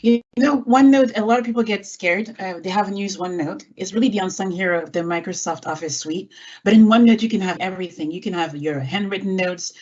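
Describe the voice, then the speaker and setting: A woman's voice: mid-range voice, fast, darkish tone. A middle-aged woman who was born in France and grew up in California, answering conversationally as a guest in an online interview. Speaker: guest, executive assistant and software trainer. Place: indoors, online video call. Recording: dull, muffled call audio.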